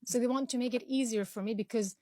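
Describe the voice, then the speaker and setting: A woman's voice: on the high side, quick pace, dark tone, no echo, studio quality. A young woman from Israel whose first language is Arabic, answering interview questions earnestly with frequent restarts and fillers. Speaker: interview guest.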